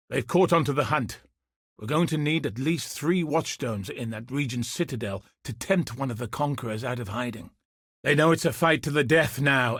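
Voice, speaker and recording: An actor playing a gruff, weary and wry veteran soldier and tracker in a video game, speaking in a measured, husky voice. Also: male voice, mid-range voice, no echo, clean studio sound. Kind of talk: scripted video game dialogue.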